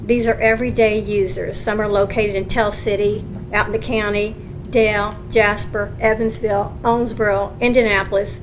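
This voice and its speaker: A woman county recorder lays out her case to a council in a plain meeting room, patient and earnest. Her voice sits at an ordinary middle pitch. A steady hum runs under the public meeting recording. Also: moderate pace. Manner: earnest, explaining patiently with restarts.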